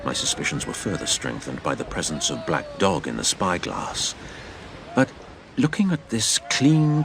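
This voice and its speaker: An actor playing a man recounting his boyhood sea adventure, in measured, literary scripted narration over faint ambience. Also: fairly low pitch, fairly bright tone, a little hiss or hum, heavily acted. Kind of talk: scripted narration in audio drama.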